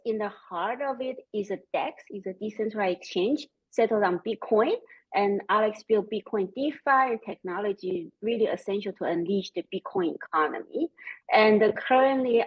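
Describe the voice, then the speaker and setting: A woman in her forties with a German-Chinese accent, speaking as a panelist in a measured way with frequent fillers. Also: mid-range voice, breathy edge, lo-fi sound. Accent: German and Chinese mixed. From Austria. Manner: measured, with frequent "um" and "you know". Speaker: panelist, company CEO. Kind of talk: panel discussion.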